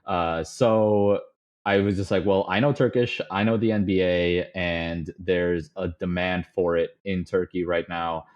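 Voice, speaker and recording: Low-voiced young man, relaxed guest. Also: measured pace. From suburbs of Chicago, USA. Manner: relaxed, rambling, many fillers, chuckles often. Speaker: podcast guest. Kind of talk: casual interview conversation. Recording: slightly dull lo-fi audio.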